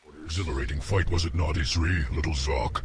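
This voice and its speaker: A man's voice: rumbling low, quick pace, slight background noise. A man voicing a boastful, bloodthirsty undead warlord who taunts and brags in a video game cutscene. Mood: gleeful, boastful.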